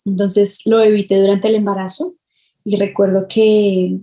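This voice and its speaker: A woman, speaking reflectively in an interview, thoughtful and halting as she searches for words. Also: medium pitch, flat delivery, warm tone. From Colombia. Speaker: illustrator, podcast guest.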